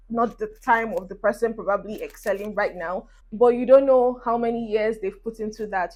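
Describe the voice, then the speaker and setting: A woman's voice: mid-range voice, a little mellow, poor audio. A woman in her twenties with a Nigerian accent, chatting to camera in a casual get-ready-with-me vlog, encouraging her viewers while doing her makeup. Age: 20s. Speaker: beauty vlogger. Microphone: phone camera.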